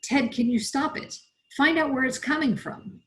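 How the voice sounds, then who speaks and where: A woman's voice: sits high, moderate pace, pitched above the performer's own voice, natural voice. A woman voicing a tense female remote robot operator in an audiobook, urgent and clipped.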